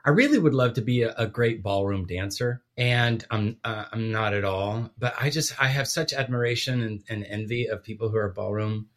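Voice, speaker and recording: A man's voice: fairly low pitch, normal speed, hardly any pauses, a bit bright. A middle-aged man from Guatemala, a podcast guest chatting casually in a self-deprecating, rambling way with restarts. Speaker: podcast guest, theology professor. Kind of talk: casual chat.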